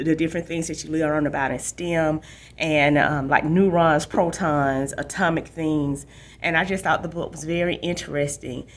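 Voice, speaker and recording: Female library host, voice a touch on the low side, casual book talk and read-aloud for young readers.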